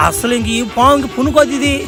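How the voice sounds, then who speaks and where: A man's voice: extremely high pitch, fairly even intonation, fast. A man voicing a brash, foul-mouthed parody superhero who boasts and taunts in slang, in a crude comic cartoon dub. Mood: aggressive, boastful. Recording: music behind the voice.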